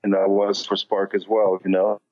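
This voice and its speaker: A man with a slight non-native accent chats as an interview guest, often circling back and restarting his sentences. His voice sits fairly low. The sound is dull and lo-fi. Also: completely flat delivery, moderate pace. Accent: light non-native accent. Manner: rambling, many restarts and fillers. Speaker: musician guest. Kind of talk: casual interview chat.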